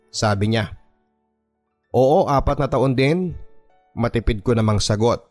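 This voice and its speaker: A man with a Filipino accent reading a first-person story as dramatic scripted narration for a radio drama. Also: medium pitch. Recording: music bed under the voice. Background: background music.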